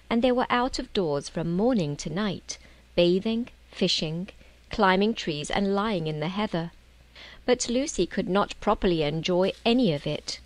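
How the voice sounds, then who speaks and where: A woman narrating a children's storybook in an expressive, measured audiobook style. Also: lowish voice.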